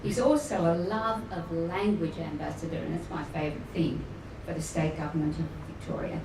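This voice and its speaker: A woman welcoming guests at a luncheon, formal but with warm chatty asides. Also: deep voice, steady tone, moderate pace, gravelly. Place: function room at a luncheon event. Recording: echoey room, distant mic, noisy.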